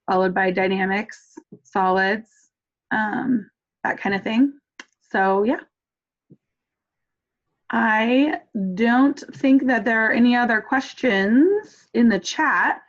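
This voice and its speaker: A woman advisor chats through students' questions over a video call, filling pauses with ums and uhs. Her pitch sits in a middle range. The call audio is muffled and lo-fi. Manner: chatty, many fillers. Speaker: academic advisor.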